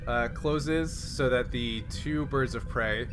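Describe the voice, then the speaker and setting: A man's voice: medium pitch, takes it slowly, over music. A man speaking casually as a game master, walking players through rules and dice results.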